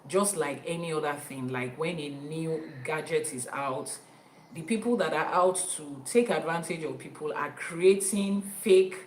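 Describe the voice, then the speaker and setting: A woman's voice: low voice, a little hiss or hum. Emphatic Nigerian woman teaching in an echoey room. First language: Yoruba. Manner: emphatic, repeats key phrases for stress. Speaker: Ifa priestess and teacher. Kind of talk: teaching talk to an online audience.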